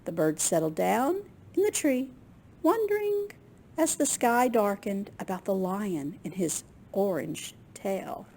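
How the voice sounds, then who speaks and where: A woman reading a picture book aloud to children in an expressive, unhurried way, with small asides to her listeners. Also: mid-range voice, gravelly, a little hiss or hum. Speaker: children's storytime reader at a library.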